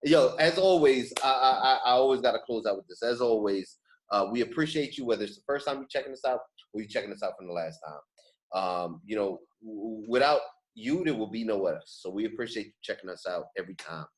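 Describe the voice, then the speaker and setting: A man's voice: fairly low pitch, expressive, a little fast, low-quality recording. An American man hosting a podcast in casual, slangy talk, emphatic and often repeating his phrases. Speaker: podcast host. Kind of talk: casual chat, podcast sign-off.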